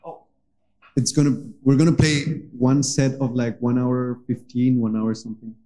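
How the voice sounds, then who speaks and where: Man, 30s, from Colombia, very dark mellow voice, earnest, halting pauses, stand mic, small cafe. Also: mid-range voice, little pitch movement, low-quality recording. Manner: earnest, searching for words with many pauses. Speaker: musician hosting a livestream jam. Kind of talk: casual spoken introduction to a livestream. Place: small cafe with stage, indoors. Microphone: vocal mic on a stand.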